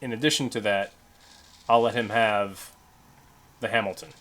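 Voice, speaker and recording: A man's voice: lowish voice, fairly even intonation, moderate pace, a bit bright. A man talking casually as a player at a tabletop role-playing game.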